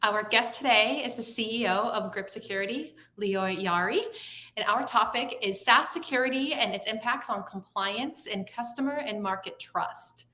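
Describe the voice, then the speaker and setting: A woman co-hosting an interview podcast, welcoming the guest and asking slightly halting questions. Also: fairly high pitch, bright, forceful tone. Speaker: podcast co-host. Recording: echoey room.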